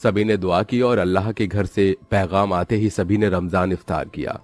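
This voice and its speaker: A man's voice: sits low, fairly even intonation, normal speed, darkish tone, dry recording, soft background music. A man narrating a scripted story in a dramatic storytelling style.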